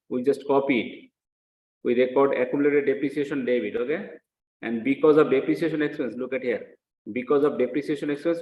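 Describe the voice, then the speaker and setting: Male lecturer in an echoey room. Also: mid-range voice. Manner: repeats phrases, asks short check questions. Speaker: accounting lecturer. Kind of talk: teaching lecture with worked example. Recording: echoey room, dull muffled sound.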